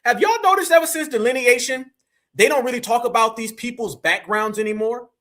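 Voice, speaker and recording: A man's voice: extremely high pitch, a little fast, fairly bright tone. An American man hosting a live opinion stream, speaking colloquially and emphatically to his audience, with repetition and rhetorical questions. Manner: colloquial, addresses listeners as family. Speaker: livestream host.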